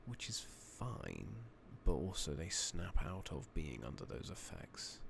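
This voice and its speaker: Very deep, gravelly man, softly spoken. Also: wildly swooping pitch, a little slow. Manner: musing to himself, reading game text aloud. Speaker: ASMR gaming streamer. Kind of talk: soft-spoken, whispered ASMR game playthrough. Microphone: close makeshift replacement microphone. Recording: noisy makeshift microphone, clicking.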